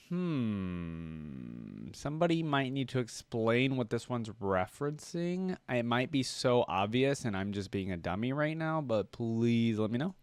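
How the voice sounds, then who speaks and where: A man hosts a casual reaction video and rambles eagerly with plenty of "like" and "um". His voice sits at a middling pitch and sounds dry and close to the mic. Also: clean tone, no room sound. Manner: enthusiastic, rambling, many fillers.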